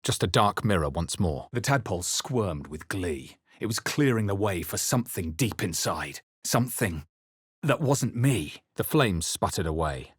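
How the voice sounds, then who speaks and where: A brooding fantasy game hero with a very low, husky voice. He recounts dark visions in measured, ominous narration, recorded close and dry. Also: expressive, no room sound, heavily acted. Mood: dark, solemn. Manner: measured, solemn, ominous storytelling. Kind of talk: dramatic first-person scripted game narration.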